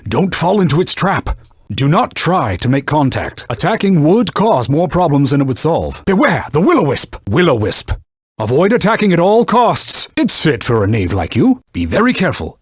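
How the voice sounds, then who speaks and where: A proud, scolding talking sword for a video game. His voice is a little husky and swings dramatically up and down as he instructs a young knight in pompous archaic phrasing. The sound is dry and close. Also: a little high, no room sound.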